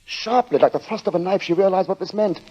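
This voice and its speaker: An actor as a grave, ominous narrator, telling a 1940s radio suspense story in deliberate, dramatic phrases. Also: male voice, sits high, mellow, a little hiss or hum, natural voice. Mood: mounting dread. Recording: dull lo-fi old radio recording.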